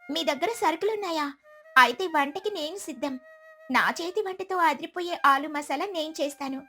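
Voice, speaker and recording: Bright motherly sparrow cook played by a woman. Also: high voice. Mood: friendly, practical. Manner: instructive, motherly. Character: practical, kindly female sparrow cook, motherly aunt. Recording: music behind the voice.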